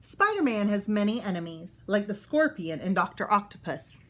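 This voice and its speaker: A woman reading a children's book aloud as a storyteller, expressive and pausing to explain things for young listeners. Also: mid-range voice.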